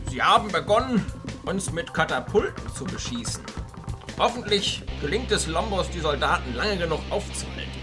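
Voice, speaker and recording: A grave, indignant wizard chancellor in a fantasy radio play voiced by a man. He declaims his complaints in a high male voice. Music plays clearly behind him. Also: lively intonation, moderate pace. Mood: worried.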